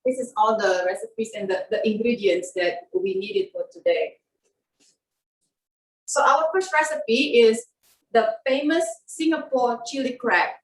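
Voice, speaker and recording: A woman's voice: a little high, unhurried. Woman from Indonesia, echoey and distant. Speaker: cooking demonstrator. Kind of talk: cooking demonstration. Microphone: video call. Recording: echoey room, far from the mic.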